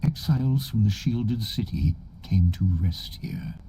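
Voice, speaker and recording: A man playing a solemn, ancient forest guardian in a fantasy game, speaking gravely and with measured weight in a gravelly voice. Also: lowish voice, noisy background, lightly acted. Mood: mournful, grave.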